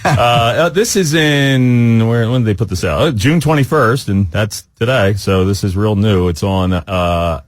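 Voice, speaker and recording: A man co-hosting a radio talk show, chatting casually in a halting, informal, rambling way. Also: fairly low pitch, dry recording, studio quality. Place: radio studio. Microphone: close studio microphone.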